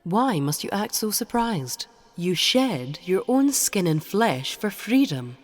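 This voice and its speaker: A woman playing a stern, commanding mother goddess in an audio drama, speaking in a formal, imperious, measured way. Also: mid-range voice, very animated, faint music behind.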